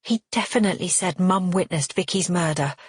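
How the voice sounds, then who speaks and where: An earnest, determined heroine of an audiobook novel, acted by a woman narrator. She sounds defensive and pleading, her voice a little husky and recorded dry. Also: lowish voice, a little fast, dry recording.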